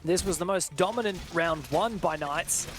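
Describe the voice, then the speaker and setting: High-voiced man casting esports. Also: normal speed, soft background music. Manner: dramatic, builds excitement on big plays. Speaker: esports play-by-play commentator. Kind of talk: live esports commentary.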